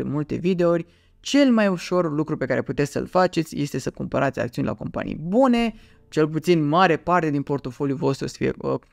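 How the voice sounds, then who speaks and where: Male video host, thirties, slightly high pitch, casual explainer delivery, dry recording. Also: gravelly, a little mellow, no room sound. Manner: conversational, rhetorical questions, repeats words for emphasis. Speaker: finance YouTuber, host. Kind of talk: casual explanatory talk to camera. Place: small dim home room, indoors.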